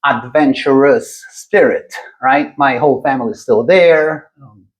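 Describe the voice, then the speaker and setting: A man with an Italian accent, a native Italian speaker hosting a podcast interview, earnest and warmly affirming his guest. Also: mid-range voice, a little slow, rough recording. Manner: earnest, affirming, lots of encouraging backchannels. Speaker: podcast host.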